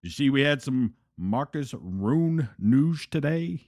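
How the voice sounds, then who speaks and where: A man's voice: medium pitch, expressive, takes it slowly, darkish tone. A man playing a laid-back, sarcastic radio disc jockey of the future, savoring his words in loose patter. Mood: breezy, wry. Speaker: radio host.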